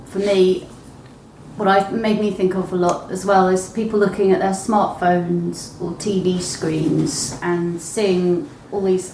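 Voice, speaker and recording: A woman's voice: on the low side, warm tone. A woman interviewer talking casually and reflectively in a book discussion. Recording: echoey room, slightly distant, faint noise.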